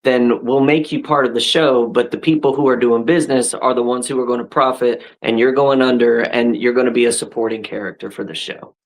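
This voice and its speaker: A man in his thirties talks shop in an easygoing, conversational way, often restarting his sentences and checking in with "right?" His pitch sits in an ordinary middle range, neither deep nor high. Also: fairly even intonation, fairly quick, few pauses, low-quality recording. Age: 30s. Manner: laid-back, frequent restarts and "right?" tags. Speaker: podcast host and wrestler. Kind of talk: casual chat.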